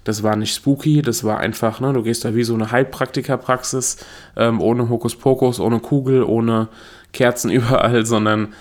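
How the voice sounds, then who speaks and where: A man's voice: mid-range voice, steady tone, normal speed. A young adult man from Germany hosting his own solo podcast, talking in a chatty, self-correcting way and laughing at himself. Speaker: podcast host. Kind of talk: casual solo podcast monologue.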